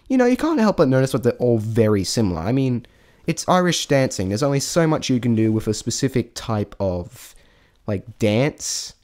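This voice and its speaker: A man's voice: mid-range voice, darkish tone. A man giving a casual, unscripted spoken review, rambling and thinking aloud in a self-deprecating way. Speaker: amateur vlog reviewer.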